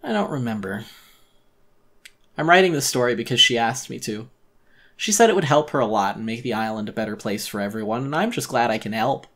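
A man's voice: mid-range voice, animated, a little fast, a bit bright. A man narrating a first-person horror story as a theatrical dramatic reading.

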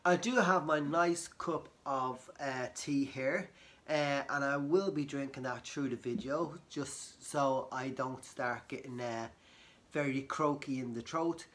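A man talks casually to camera from his sofa. His voice is croaky from a cold, and he rambles, circling back with fillers and restarts. The sound is close and homely, as if recorded in a living room. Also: medium pitch, takes it slowly, a bit bright. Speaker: vlogger.

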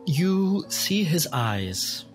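A man's voice: on the high side, sing-song, very slow. A man running a tabletop game as game master, narrating and improvising scenes for the players. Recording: music under the voice. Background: background music.

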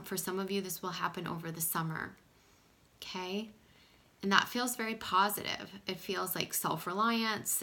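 Female card reader, bright voice roughened by pollen, gentle and reassuring, recorded at a table in a small home room. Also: on the low side. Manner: soft-spoken, drifting into whispers, frequent "okay?". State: scratchy voice from pollen. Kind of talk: casual oracle card reading.

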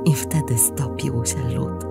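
A woman narrating a scripted tale dramatically, deliberate and expressive, with pauses and hushed phrase endings. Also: very deep voice, creaky, loud music behind the voice. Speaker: storybook narrator.

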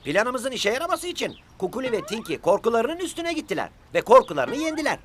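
A woman voicing a gentle, honest cartoon helper who speaks sincerely and expressively in a children's show. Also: fairly high pitch, very animated, fairly quick, a little hiss or hum. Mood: explaining.

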